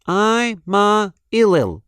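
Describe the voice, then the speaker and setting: A man's voice: high voice, sing-song, extremely slow, a bit bright, clear, resonant tone, dry recording, pitched above the performer's own voice, heavily acted. A man voicing a bossy, self-assured little girl mouse in a children's storybook. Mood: exuberant. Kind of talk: children's storybook character reading.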